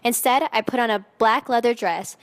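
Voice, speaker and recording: A woman's voice: on the high side, moderate pace, lightly acted. A woman voicing a haughty, melodramatic seventeen-year-old goth vampire girl in a dramatic fan fiction reading, with emphatic teenage delivery. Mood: self-absorbed narrating. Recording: echoey hall with long reverb.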